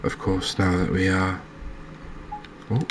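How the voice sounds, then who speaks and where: Man, low-pitched, little pitch movement, mild-mannered, cozy ASMR gameplay narration, faint noise behind. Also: completely flat delivery, slow. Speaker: gaming channel host. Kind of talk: relaxed ASMR game commentary.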